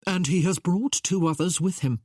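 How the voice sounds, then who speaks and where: A serious gentleman from a historical novel, read by a woman. He is composed and level-headed, with a slightly low, husky voice and a plain delivery. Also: moderate pace, no echo, studio quality.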